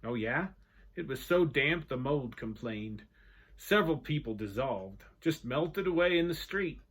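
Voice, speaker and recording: A man voicing a genteel older sea captain with a dry, wry wit, telling tall tales slowly. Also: medium pitch, lively intonation, fairly bright tone, husky.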